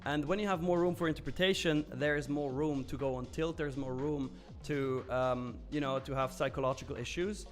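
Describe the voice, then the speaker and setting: A man's voice: medium pitch, brisk, hardly any pauses, soft background music. A man hosting a live stream, chatting loosely and candidly as he answers viewers' comments, with frequent fillers and the odd curse. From Switzerland. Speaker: live trading stream host.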